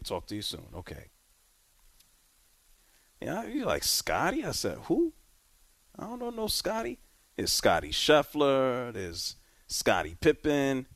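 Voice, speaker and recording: Male radio host, playful, lively pitch, dry studio sound. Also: dry recording. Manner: animated, playful, laid-back slang. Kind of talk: sports radio talk. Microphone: studio mic.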